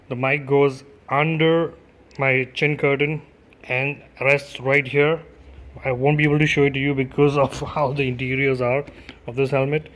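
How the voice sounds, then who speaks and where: A man's voice: mid-range voice, fairly bright tone. A man giving a casual, informal unboxing and demo as a gear reviewer, addressing his viewers directly.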